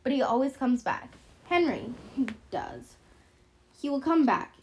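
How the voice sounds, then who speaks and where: Woman acting a squeaky old French woman, high-pitched. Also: sing-song, a little slow, highs cut off, heavily acted. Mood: welcoming. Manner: effusive, broken English. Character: bent, toothless old French-accented woman, tipsy and jolly. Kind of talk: audiobook character voice.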